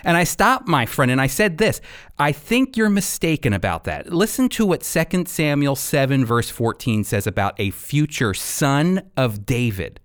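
A man hosting a radio program and teaching from the Bible in an earnest, deliberate, emphatic way. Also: mid-range voice, big pitch swings, clear, resonant tone, no room sound, clean studio sound.

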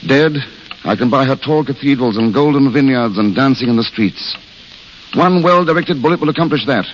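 Casting: male actor as courteous, fatalistic dreamer, mid-pitched literary delivery, vintage radio drama, muffled and hissy. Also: moderate pace, close to an everyday voice. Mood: fatalistic, romantic. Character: world-weary romantic idealist, eloquent and fatalistic. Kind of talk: 1930s-40s radio drama acting. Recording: hissy, dull old radio recording.

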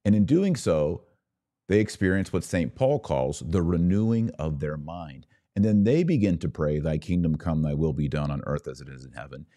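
Man, very low pitch, mellow dark tone, earnest preaching podcast host, deliberate repetition. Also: expressive. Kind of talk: religious commentary, preaching to the audience.

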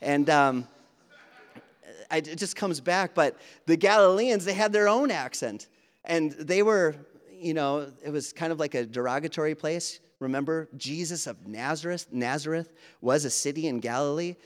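A man's voice: fairly high pitch, moderate pace. A man from Wisconsin with a Wisconsin accent, preaching a sermon in a conversational way with asides and fillers. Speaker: pastor. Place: church. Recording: reverberant church hall.

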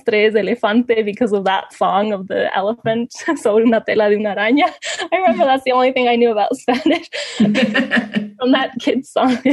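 A woman's voice: a little high, creaky, a little mellow, breathy edge, no echo. Woman, thirties, from Canada, interview guest, laughs a lot, lots of fillers, dry sound. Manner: laughs often, many fillers and restarts. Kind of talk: casual conversational storytelling.

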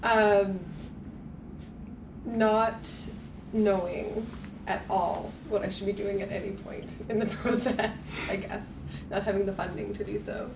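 A woman's voice: medium pitch, fairly even intonation, takes it slowly. A woman making hesitant personal remarks as a participant in a group discussion. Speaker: meeting participant starting a business. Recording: distant mic, echoey noisy room.

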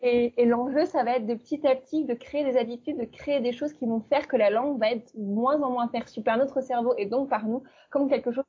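A French woman with a slightly husky, fairly high voice talks as a guest, explaining at length about learning languages. She speaks casually, full of fillers and self-corrections. Her voice comes through a somewhat dull, lo-fi line. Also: fairly even intonation, fairly quick, hardly any pauses, dark tone. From eastern France. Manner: chatty, many fillers and restarts. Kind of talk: casual interview talk.

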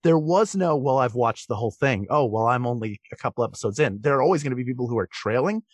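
A man's voice: medium pitch, expressive, fast talker, husky, no echo. A man talking casually as a guest on a podcast, conversational and repeating words for emphasis.

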